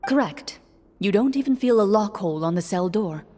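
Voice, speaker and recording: Game role: composed young woman game master, played by an actress. Mid pitch, formal, deadpan, hall reverb. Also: moderate pace, close to an everyday voice. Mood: matter-of-fact. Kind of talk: scripted game voice acting, narrating a tabletop game. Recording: echoey hall reverb, music behind.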